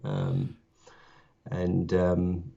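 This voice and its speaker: An Australian man co-hosts a podcast in a low voice with a slightly gravelly edge. He talks in a relaxed, halting way, full of ums and restarts. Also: lo-fi sound. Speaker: podcast co-host. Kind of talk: casual podcast chat.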